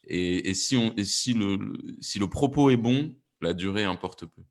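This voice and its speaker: A man with a deep voice talks as a producer on a panel. His delivery is casual and unscripted, with restarted phrases and repetition to press his points. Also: normal speed. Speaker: panelist, audio fiction producer. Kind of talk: panel discussion, casual explanation.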